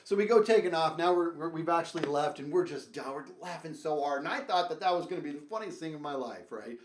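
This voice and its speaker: A man's voice: a little high, expressive, fast talker. A man hosting a comedic storytelling show, talking straight to viewers in a theatrical, exaggerated, emphatic way and repeating phrases. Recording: roomy echo.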